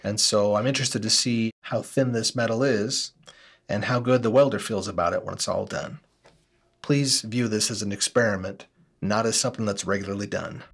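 A middle-aged man hosting a bike repair video, walking through a frame inspection in a measured, careful way in his workshop. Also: lowish voice, vocal fry, fairly bright tone, husky. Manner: measured, cautious explainer. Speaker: host. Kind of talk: explanatory bike repair walkthrough.

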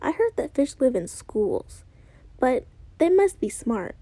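A woman's voice: sits high, big pitch swings, takes it slowly, mellow, dry recording, full character voice. A woman doing eager, silly noodle boys. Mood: excited. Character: two silly, eager young noodle brothers.

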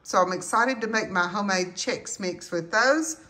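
A woman chatting casually to viewers as a couponing vlogger, in a halting, rambling way. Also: mid-range voice, bright, forceful tone. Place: indoors at home.

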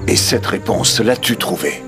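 An actor playing a loving, dignified old father king in an animated film, with tender, stately formal speech. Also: fairly low pitch, measured pace, vocal fry. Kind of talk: French animated film dubbing, formal dialogue. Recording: music behind the voice.

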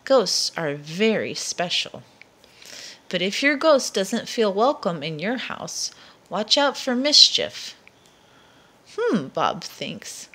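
A woman reading a children's picture book aloud as a storyteller, with expressive, deliberate narration and dramatic emphasis. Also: mid-range voice.

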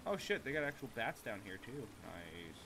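A man's voice: mid-range voice, very animated, moderate pace, noisy background. A man, middle-aged, chatting casually and in a laid-back, profane way as he plays a game with a friend. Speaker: gamer streaming a co-op playthrough. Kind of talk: casual gameplay chat. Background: game music and sound effects.

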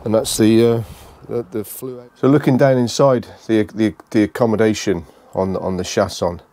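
Low, mellow-voiced British man. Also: fairly even intonation. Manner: halting, repeats phrases as he goes. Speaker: vlogger giving a motorhome tour. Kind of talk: casual unscripted walkthrough narration.

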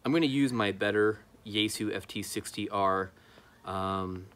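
Low-pitched man, casual vlogger. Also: a little slow, a bit bright. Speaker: YouTube host, amateur radio hobbyist. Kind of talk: casual explanatory talk to camera. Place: outdoors in a backyard.

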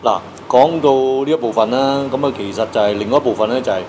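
A man's voice: medium pitch, quick pace, darkish tone, a little hiss or hum. A man in his fifties with a Hong Kong accent, casually asking questions as an interview host. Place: small indoor studio with acoustic foam walls.

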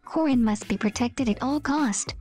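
A woman narrates short scripted captions in a voice-over. Her voice sits high, with a soft, mellow tone that has little edge to it. Faint music plays behind her. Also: normal speed. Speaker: meme video narrator. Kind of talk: scripted voice-over reading memes aloud.

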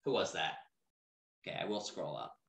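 A woman's voice: rumbling low, very animated, moderate pace. A woman teaching an online class in a casual, conversational way, often asking the students questions. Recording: roomy, distant from the mic.

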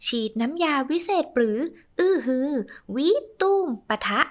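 A woman narrating a rhyming children's story in a sing-song, lively way, adding playful sound effects. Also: sits high, measured pace.

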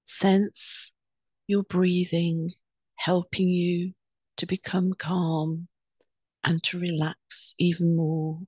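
A woman leading a guided meditation in a soothing, unhurried, instructing way with pauses between phrases. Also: on the low side, flat delivery, a little mellow, breathy edge, dry recording.

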